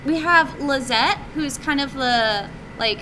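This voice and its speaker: A woman's voice: high voice, slow. A woman answering questions informally at a meetup as an indie game developer, casual and a little hesitant, thinking aloud. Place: indoor meetup presentation, live. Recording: noisy live room recording, steady hum.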